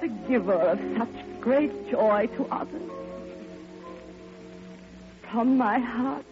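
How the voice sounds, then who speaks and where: An actress playing a lonely, grieving, devoted woman in a theatrical, impassioned old-time radio monologue. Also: a little high. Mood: tearful, tender. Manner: theatrical, hushed, impassioned, drawn-out phrasing. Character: grieving, lonely, devoted woman recalling her beloved friend. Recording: dull old radio recording, loud music.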